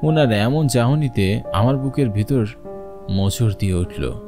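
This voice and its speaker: A man plays an earnest young peddler telling his own ghost story for a horror cartoon. His voice sits at a middle pitch and is dramatic and expressive. Music plays clearly behind him. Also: unhurried. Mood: suspenseful narration. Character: earnest young male cloth peddler narrating his ghostly ferry journey. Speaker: first-person narrator.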